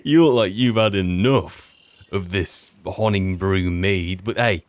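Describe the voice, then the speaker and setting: A man's voice: deep voice, slow pace, dry recording. A British man doing casual in-character commentary while playing a fantasy game, wryly muttering to himself. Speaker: player narrating a roleplay of a fantasy video game. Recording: game music behind the voice.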